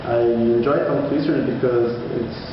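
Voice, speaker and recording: A man's voice: medium pitch, steady tone, moderate pace. A man whose first language is Portuguese, speaking English in a casual vlog, hesitant and restarting often, laughing at himself. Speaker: vlogger. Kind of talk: casual study-update vlog. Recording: far-off echoey hall, dull lo-fi with noise.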